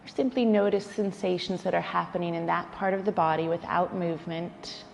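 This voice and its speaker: A woman leading a guided yoga nidra relaxation, speaking in a soothing, gentle way with unhurried pauses. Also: fairly low pitch, steady tone, vocal fry. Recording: long reverberant hall, faint background noise.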